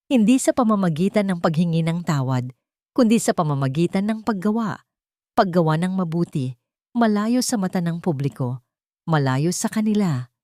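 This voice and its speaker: A woman narrating a scripted romance story in an expressive, dramatic manner. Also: fairly low pitch, no echo, studio quality.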